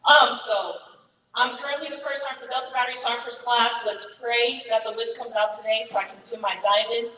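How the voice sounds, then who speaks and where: A woman in her thirties, raised in Germany with German as her first language, telling her Army career story to fellow soldiers in a candid, energetic way. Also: a little high, fairly quick, echoey room, long reverb tail, distant mic, background noise. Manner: candid, energetic, many fillers. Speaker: Army first sergeant, guest speaker. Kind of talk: personal story talk to soldiers.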